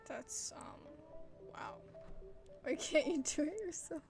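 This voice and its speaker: A woman's voice: sits high, slow, creaky. A young woman giving casual, slangy, skeptical reactions while playing a video game. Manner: informal, slangy, skeptical asides. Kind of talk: casual gameplay reaction commentary. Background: game music.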